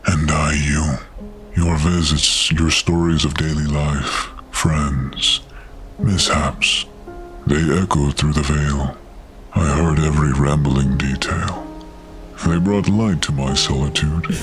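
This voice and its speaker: A man voicing an ancient wolf spirit guardian in a fantasy audio story, solemn and gentle, with a grave, measured delivery. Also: rumbling low, fairly even intonation, faint music behind, heavily acted. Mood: solemn, tender.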